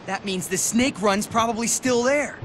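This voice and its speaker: A man playing an eager, naive young skater in a video game, casual and excitable. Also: very high-pitched, normal speed, background noise. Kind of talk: video game cutscene acting.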